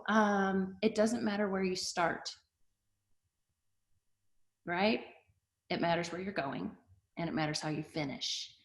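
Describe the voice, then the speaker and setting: A middle-aged woman pastor and TV host, chatting casually with an online audience and asking them to type answers. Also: fairly low pitch. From Canada-born, raised in the US. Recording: roomy echo.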